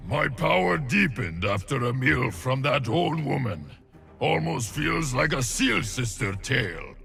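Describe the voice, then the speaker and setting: An actor playing a weary, solemn shaman warrior from a frozen wilderness in a video game, speaking in a gravelly voice with slow, weighty delivery. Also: medium pitch, sing-song, bright, forceful tone, soft background music, heavily acted. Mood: reflective. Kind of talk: video game character voice lines.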